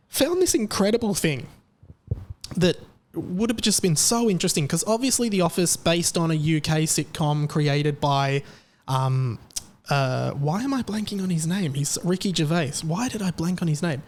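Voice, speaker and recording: A man hosting a podcast, talking in a chatty, excitable way with lively jumps in his voice. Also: on the high side. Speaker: podcast host. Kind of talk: casual chat.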